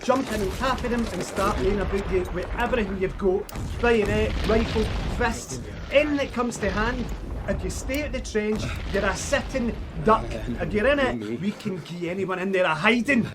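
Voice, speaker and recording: Man acting a scottish army squad leader, high voice, blunt and bossy, battle noise behind. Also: normal speed, lightly acted. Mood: grimly urging. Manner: blunt, urging, commanding. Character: blunt Scottish squad leader briefing soldiers before attack. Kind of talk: audio drama acting. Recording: gunfire effects behind.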